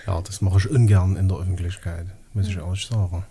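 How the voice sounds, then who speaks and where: A man's voice: low voice, fairly even intonation, a little fast, mellow, a little hiss or hum. A man co-hosting a casual podcast in a joking, self-deprecating way, laughing often. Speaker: podcast co-host. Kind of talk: casual chat.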